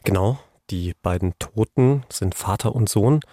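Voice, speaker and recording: Male true-crime podcast expert, mellow and fairly deep, explaining conversationally, recorded close and dry. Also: a little slow, no room sound.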